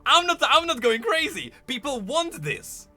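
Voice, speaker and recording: A man's voice: very high voice, very animated, a little slow, pushed and bright. A man doing casual livestream commentary on gaming for his chat, speaking emphatically and repeating phrases for stress. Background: background music.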